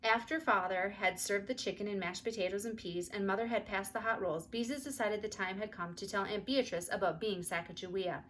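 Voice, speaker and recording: A woman narrating a children's story aloud in an expressive storytelling style, with faint noise behind her. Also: mid-range voice, a little fast, hardly any pauses, low-quality recording.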